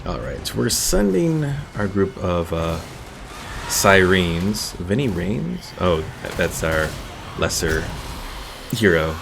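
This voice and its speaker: Low, gravelly male game streamer. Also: takes it slowly, background noise. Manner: relaxed, thinking aloud. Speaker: gaming streamer. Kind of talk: casual live game commentary. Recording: game music behind the voice.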